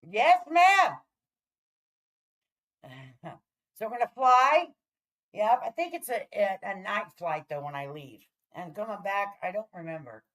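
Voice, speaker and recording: A woman's voice: mid-range voice, animated, takes it slowly, long pauses, a bit bright. Woman, livestream host, brash, chatty, profane, casual delivery, mid-range voice. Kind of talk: casual livestream chat with viewers.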